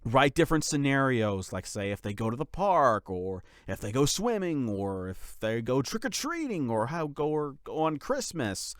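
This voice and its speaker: A man's voice: mid-range voice, sing-song, moderate pace, few pauses, no echo, clean studio sound. A man talking casually and unscripted to his followers as a content creator, rambling and often restarting his sentences. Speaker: channel creator and voice actor giving a series update.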